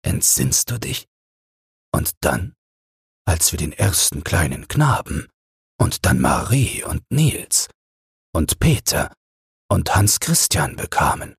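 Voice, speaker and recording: An old seafaring husband acted by a male reader looks back fondly in a bedtime storybook tale. He speaks very low and slowly, with a crackling, husky edge. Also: sing-song, dry recording, studio quality, exaggerated voice. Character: old retired sailor, affectionate, reminiscing husband. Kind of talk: audiobook fairy-tale character reading.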